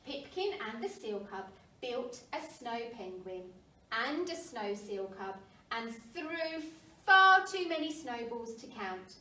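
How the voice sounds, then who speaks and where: A woman reading a children's storybook aloud with expressive narration, as a primary school story reader. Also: a little high, slow. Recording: roomy, distant mic, faint noise.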